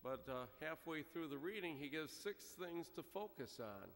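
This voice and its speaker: A man's voice: on the high side, lively intonation, moderate pace, fairly bright tone. A middle-aged man, a priest, giving a conversational sermon from the pulpit, telling stories with frequent fillers. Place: large church, speaking from the pulpit. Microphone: gooseneck pulpit microphone. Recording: church reverb from a fixed camera.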